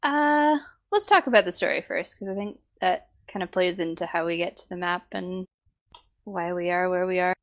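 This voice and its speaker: A woman co-hosting a casual podcast, her voice worn out by a sore throat, talking in halting bursts with many fillers. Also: lowish voice. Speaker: podcast co-host.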